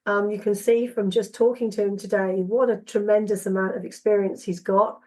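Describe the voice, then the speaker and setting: A woman with a cold-roughened voice, hosting a casual podcast interview and pausing often with ums and restarts. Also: mid-range voice, fairly even intonation, mellow, poor audio. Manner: halting, many ums and restarts. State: hoarse from a cold. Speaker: podcast host.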